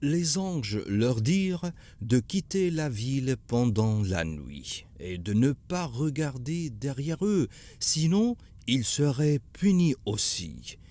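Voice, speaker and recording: A man's voice: mid-range voice, sing-song, slow pace, warm tone. A man playing a kindly older grandfather who tells prophet stories in a cartoon, solemn and patient. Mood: grave, patient. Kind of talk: animated religious story narration.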